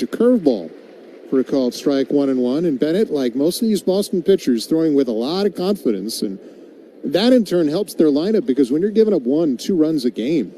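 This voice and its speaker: Male radio baseball play-by-play announcer, very soft-edged and mellow tone, slightly higher register, live game noise underneath. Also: rough recording. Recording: live broadcast with clear background noise.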